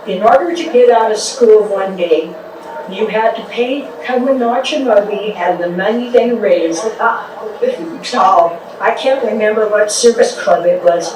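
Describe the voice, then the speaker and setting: Older woman giving a heartfelt speech in an echoey hall. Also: medium pitch. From Trinidad, Colorado. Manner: ad-libbing, nostalgic, halting. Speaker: community speaker at a public event. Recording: echoey hall, distant mic, background noise.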